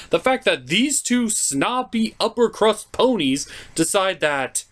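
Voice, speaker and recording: A comedic cartoon review host with a very lively, swinging pitch. The delivery is scripted, theatrical and sarcastic, often bursting into exclamation.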